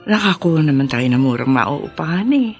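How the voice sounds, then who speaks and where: Low-voiced humble Filipino mother. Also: expressive, a little fast, faint music behind. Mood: consoling, hopeful. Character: humble, devout housemaid mother, pleading yet hopeful. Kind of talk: Filipino radio drama acting.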